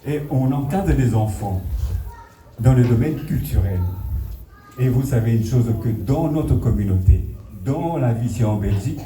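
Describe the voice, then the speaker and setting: A man's voice: medium pitch, mellow. A middle-aged man with a Congolese French accent gives an informal public speech as founder of a community association, telling anecdotes and addressing his listeners directly. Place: party hall, speaking to a gathering. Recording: echoey hall, distant mic, audience noise.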